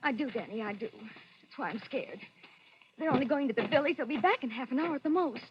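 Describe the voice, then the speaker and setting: A high, anxious voice on a dull vintage radio recording: a devoted young woman in love with a fugitive. She pleads emotionally, in full 1940s melodrama style. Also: expressive, a little fast, noisy background.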